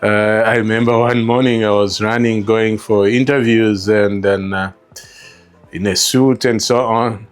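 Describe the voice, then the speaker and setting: A middle-aged man with a Rwandan accent shares personal memories in an interview. His voice sits fairly low and he speaks very slowly, in a halting way that often repeats words. Faint music plays behind him. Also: steady tone, clean tone. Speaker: interview guest, store co-founder. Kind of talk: reflective personal storytelling in an interview.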